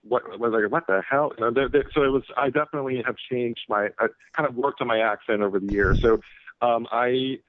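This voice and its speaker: A man's voice: medium pitch, brisk, dry recording. Male guest from Florida, chatty, dry, slightly dull recording. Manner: chatty, laughing easily. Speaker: guest author. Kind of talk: casual radio interview.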